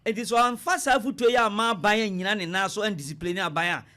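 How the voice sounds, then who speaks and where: A man's voice: high voice, quick pace, pushed and bright. A man with a Ghanaian accent explaining things step by step, in an emphatic lecturer's manner, as an interview guest on radio. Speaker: economics lecturer, studio guest.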